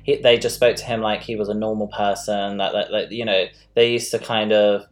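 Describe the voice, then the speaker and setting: A woman's voice: very deep voice, fairly even intonation, fairly quick. A woman hosting a casual live-stream chat, talking loosely with lots of fillers. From London. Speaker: host.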